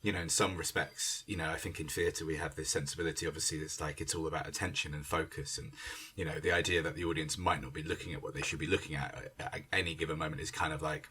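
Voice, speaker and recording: A man's voice: very deep voice, steady tone, quick pace, hardly any pauses, pushed and bright, husky. A man, a theatre director, talking casually in an interview in a rambling way that keeps interrupting itself with asides. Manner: rambling, self-interrupting, many "you know" asides. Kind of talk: casual conversational interview talk.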